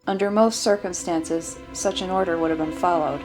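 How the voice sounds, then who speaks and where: A woman's voice: on the low side, steady tone, moderate pace, music in the background, poor audio. A woman reading scripted fiction aloud as an audiobook narrator.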